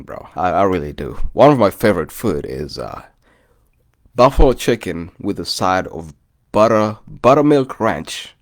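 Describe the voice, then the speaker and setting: A man with a Kenyan accent, chatting loosely to his viewers as a vlogger and often calling them "bro". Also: deep voice. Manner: loose and chatty, often says "bro", greets live commenters. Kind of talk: casual rambling chat to viewers.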